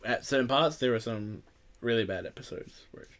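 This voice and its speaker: A man's voice: mid-range voice, brisk. A man hosting a podcast in casual, opinionated group chat, rambling and swearing freely. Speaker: podcast host.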